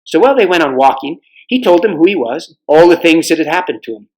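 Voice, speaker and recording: A man reads a children's adventure story aloud as a storyteller. His pitch moves around in a lively way, and his delivery is expressive. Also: fast, low-quality recording. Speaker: reader. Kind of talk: storybook read-aloud with acted dialogue.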